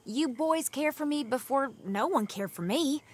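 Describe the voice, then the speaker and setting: A gentle young gang member. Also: female voice, high-pitched, animated, measured pace. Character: gentle, thoughtful young woman of an outlaw gang. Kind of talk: video game cutscene acting.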